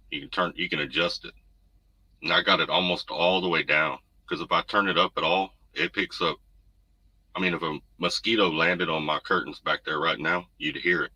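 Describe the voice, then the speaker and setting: A man's voice: pushed and bright, husky, no room sound, low-quality recording. A man, hosting a casual livestream from home and chatting through viewer comments in a laid-back, rambling way. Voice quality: deep voice. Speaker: trucker livestream host. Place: home room. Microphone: desk USB condenser mic. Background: noise.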